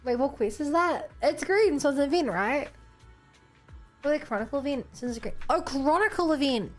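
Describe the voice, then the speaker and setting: A high, animated woman's voice with a New Zealand accent, streaming a game. She chats casually and reacts as she plays, with music behind her. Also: moderate pace. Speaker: livestreamer.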